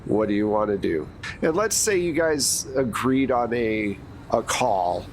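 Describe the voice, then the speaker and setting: Casual game master, noisy recording. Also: male voice, lowish voice, animated, unhurried. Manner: casual, loose narration with fillers. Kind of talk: running a tabletop horror game. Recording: noisy background hiss.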